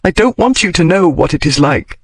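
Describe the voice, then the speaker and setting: A man voicing a blunt, logical lady of a bygone era, speaking in crisp, formal phrases for an audiobook. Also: expressive, brisk, no room sound. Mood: distressed. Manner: crisp, formal period speech rising in pitch. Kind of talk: audiobook character reading.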